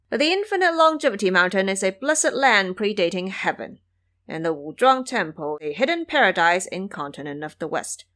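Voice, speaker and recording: Dignified male deity for audio drama, played by a woman: formal, stern, courteous, highly expressive delivery. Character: dignified male immortal lord, courteous but scolding.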